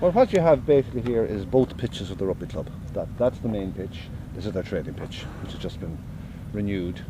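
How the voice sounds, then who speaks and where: A man's voice: on the low side, lively intonation, fairly quick. An Irish man, the campsite organiser, explaining the site in an easygoing, matter-of-fact way during an outdoor interview. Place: outdoor campsite by a running generator. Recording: outdoor field recording with generator noise.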